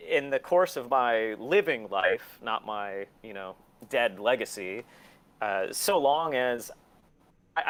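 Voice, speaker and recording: A man's voice: on the low side, a bit bright. A man in his forties talking candidly and casually as an interview guest, blunt and opinionated, swearing often. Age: 40s. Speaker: interview guest, record label and museum owner.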